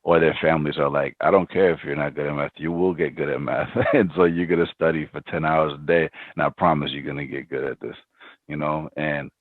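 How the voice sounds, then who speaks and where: Very deep-voiced man on a phone-like line. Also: steady tone, a little fast, no room sound. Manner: reflective, many ums and restarts. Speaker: podcast guest, startup founder. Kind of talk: casual interview answers. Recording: dry, phone-like remote line.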